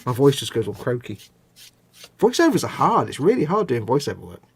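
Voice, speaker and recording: A husky-voiced British man chatting casually on a live video stream. He talks in a loose, self-deprecating way, restarting his sentences and laughing at himself. He sits at a desk mic and speaks at an ordinary, middling pitch. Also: huge pitch swings, fairly quick. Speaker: host of a model-building channel. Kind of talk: casual chat on a livestream.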